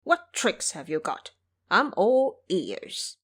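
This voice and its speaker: A woman voicing a scornful male monster king in an audio drama, speaking lower than her own voice. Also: wildly swooping pitch, takes it slowly, husky, heavily acted. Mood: sneering. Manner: sneering, scornful.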